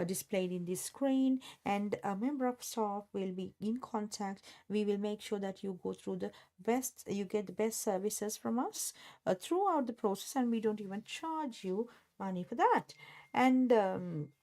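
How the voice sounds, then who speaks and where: A woman's voice: mid-range voice, warm tone. A woman talking as a study abroad consultant, hesitant with fillers and restarts. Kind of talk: casual promotional talk.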